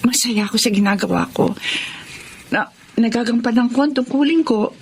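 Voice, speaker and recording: Woman acting long-suffering Filipino wife, gentle, hesitant, highish female voice, radio drama, faint background noise. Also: moderate pace, close to an everyday voice. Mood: gentle, forbearing. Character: patient, self-sacrificing working wife and older sister.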